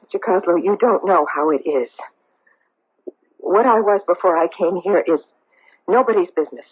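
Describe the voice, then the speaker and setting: An actress playing a guarded, anxious middle-aged socialite with a secret in a 1970s radio drama, speaking in a clipped, defensive, emotional way. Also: female voice, lowish voice, normal speed, slightly rough. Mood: defensive, firm. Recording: muffled tinny old radio broadcast.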